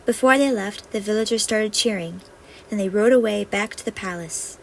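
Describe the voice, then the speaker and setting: A woman's voice: mid-range voice, normal speed, vocal fry. A woman storyteller reading a scripted fairy-tale narration. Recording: faintly noisy home recording.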